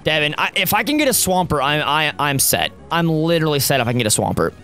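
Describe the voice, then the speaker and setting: An excitable young man narrates his playthrough in a slightly high, raspy, worn voice. He talks close to a studio mic at a home gaming setup and cheers his team on loudly. Faint game music plays behind him. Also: quick pace. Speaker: gaming YouTuber. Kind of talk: Let's Play commentary. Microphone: studio mic close up, seen.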